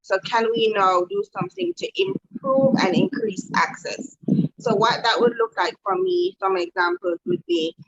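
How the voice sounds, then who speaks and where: A woman with a Jamaican accent on a panel, listing her points deliberately. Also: fairly high pitch, big pitch swings, gravelly, slightly rough, highs cut off. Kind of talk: panel discussion.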